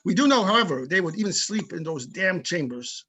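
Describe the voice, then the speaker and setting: Man reading as husky, high-pitched older police chief. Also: animated, a little fast, a bit bright, full character voice. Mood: matter-of-fact. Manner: plainspoken, opinionated, small-town storytelling. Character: tough but amicable older male police chief. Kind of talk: amateur readers theater reading over videoconference.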